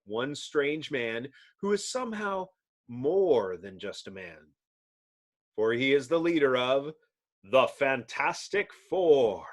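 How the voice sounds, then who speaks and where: A man's voice: mid-range voice, very animated, slow pace. A man narrating a dramatic comic-book reading in a theatrical, announcer-like manner.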